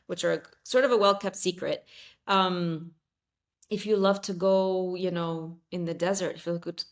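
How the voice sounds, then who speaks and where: A woman in her thirties, raised in Saudi Arabia with Arabic as her first language, talking casually as a podcast guest, with many fillers, restarts and easy laughs. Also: lowish voice. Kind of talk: casual interview chat.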